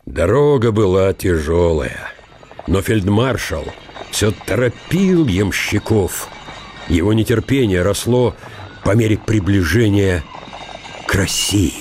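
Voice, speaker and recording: A man narrating a historical story for a radio play, deliberate and broken into short phrases. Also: on the low side, animated, a little mellow, slight background noise.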